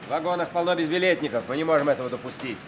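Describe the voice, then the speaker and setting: A stern railway guard in an old radio play, played by a man. He talks quickly and brusquely, in a slightly high voice, like an official doing his job. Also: slight background noise, close to an everyday voice. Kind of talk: Soviet radio drama acting.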